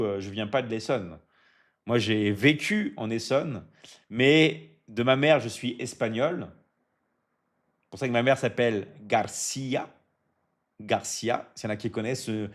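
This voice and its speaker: A man from France, hosting a business coaching live stream. He speaks casually and repeats himself a lot, full of fillers and hype, and keeps checking in with his viewers. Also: mid-range voice.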